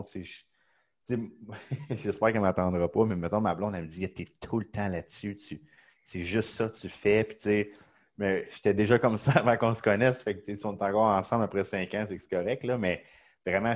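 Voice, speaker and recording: A man's voice: lowish voice, fairly even intonation, brisk. A man hosting a casual podcast, eager and chatty with many fillers. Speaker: podcast host.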